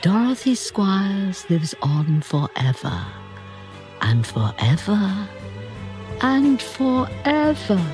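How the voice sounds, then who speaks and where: A woman playing an ageing, proud and camp Welsh singing star, speaking theatrically with dramatic pauses in a radio play. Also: mid-range voice, very animated. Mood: grand. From Wales. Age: older. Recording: music behind the voice.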